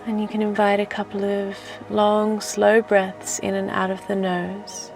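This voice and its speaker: A woman guides a relaxation meditation in a soft, even voice with noticeable vocal fry. Her delivery is gentle and soothing, with long pauses between phrases. Music plays behind her. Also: medium pitch. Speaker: meditation teacher and host.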